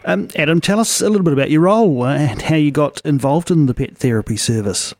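A man's voice: a little high, quick pace, darkish tone, dry recording. A man hosting a radio interview, asking friendly questions with small restarts. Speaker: radio host.

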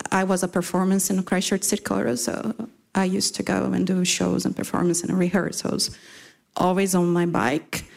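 A woman from Brazil with a Brazilian accent, a native Portuguese speaker, giving an informal talk at a community event, halting, with many fillers and restarts. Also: mid-range voice, vocal fry.

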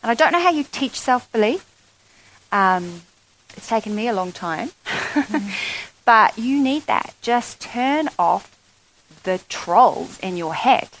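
A woman with a fairly high, very lively voice gives advice on a festival stage, stopping and restarting as she searches for words. A faint hiss runs under her microphone. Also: slow, poor audio. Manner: hesitant, restarts often, earnest. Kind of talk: panel discussion. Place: festival venue in front of an audience.

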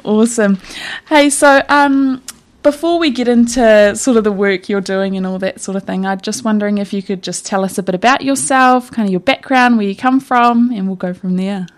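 A woman's voice: medium pitch, steady tone, normal speed. A woman hosting a community radio show, reading a prepared opening and then chatting with a guest. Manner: friendly, reads intro then chats.

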